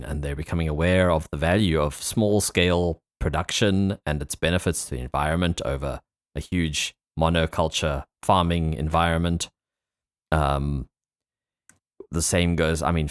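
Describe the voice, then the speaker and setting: A man's voice: a bass voice, dry recording, clean studio sound. A man hosting a podcast, talking in a casual, reflective way with a tentative, meandering delivery. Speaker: podcast host.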